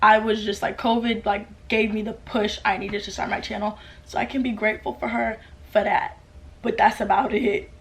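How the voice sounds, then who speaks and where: A young woman of nineteen from the US talks straight to camera, answering fan questions. Her voice sits at an ordinary middle pitch, and her chat is casual and bubbly, with plenty of "like". She sounds close to a camera mic in a room at home. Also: level tone, normal speed, creaky. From United States. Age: late teens. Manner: chatty, upbeat, lots of "like" fillers. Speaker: YouTuber. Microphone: camera mic with ring light.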